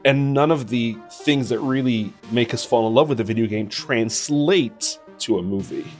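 A man's voice: mid-range voice, moderate pace, darkish tone, faint music behind. A man giving thoughtful, opinionated answers as an interview guest, speaking conversationally and with emphasis. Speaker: interview guest, video game composer and radio presenter.

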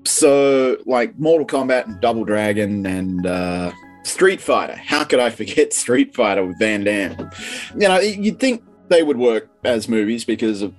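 A man's voice: medium pitch, animated, measured pace. A man with a mid-range voice talks as a guest critic over music. He explains his opinions informally, in a casual interview style.

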